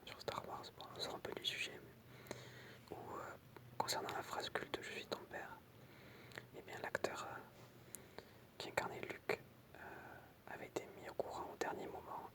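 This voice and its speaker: A person whispering in an ASMR style, reading out short pieces in a soft, explanatory way with casual asides. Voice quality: unvoiced, breathy whisper. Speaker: ASMR creator reading film trivia with commentary.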